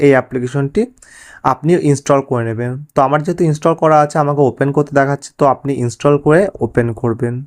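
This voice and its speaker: A man presents a tech tutorial at a quick clip. His voice sits slightly high for a man. He guides viewers directly through each step in an instructional manner. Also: darkish tone. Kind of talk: spoken step-by-step app tutorial.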